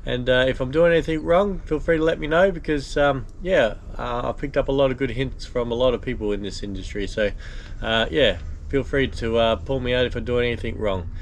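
Vlog host: man, 30s, laid-back and rambling with fillers, mid-pitched, faint background hiss. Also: fairly quick. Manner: relaxed and chatty, lots of ums and uhs. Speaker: boat restorer and channel host. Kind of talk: casual vlog narration. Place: inside a steel boat hull, then an outdoor patio.

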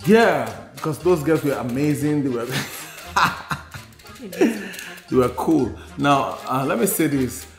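A man's voice: a little high, lively intonation, takes it slowly. A man in his forties with a Nigerian accent tells his personal story candidly on a podcast, reflectively, repeating phrases for emphasis. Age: 40s. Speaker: podcast co-host. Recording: roomy sound with faint music. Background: faint music.

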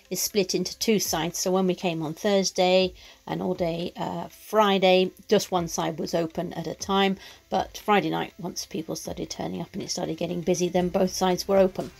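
A woman vlogger talking casually to camera, practical and chatty, pausing often with "uh". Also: lowish voice, creaky, darkish tone. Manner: chatty and practical, with many "uh" pauses. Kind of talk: casual vlog narration. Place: campsite shower block, indoors.

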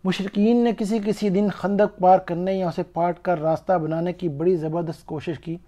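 A man's voice: sits high, fairly even intonation, normal speed, warm tone. A man reading a scripted account of religious history in a formal, earnest storytelling manner. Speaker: narrator. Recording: dull, muffled lo-fi voice-over.

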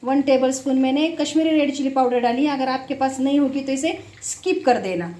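Female home cook, high voice, simple step-by-step recipe narration, echoey kitchen. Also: fairly quick, warm tone. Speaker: home cook, cooking show host. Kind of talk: casual recipe demonstration. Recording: echoey room.

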